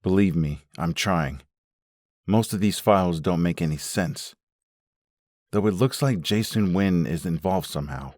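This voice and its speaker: A man acts a serious comic-book investigator. His voice is low and recorded very dry, with a matter-of-fact delivery. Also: measured pace, darkish tone, no echo. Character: serious, frustrated male investigator talking with his partner. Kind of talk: comic dub acting.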